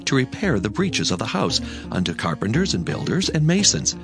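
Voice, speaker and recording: Earnest, commanding biblical king, animated delivery. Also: on the low side, a little fast. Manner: declamatory, formal. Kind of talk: dramatized scripture acting. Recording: voice over prominent music. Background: music.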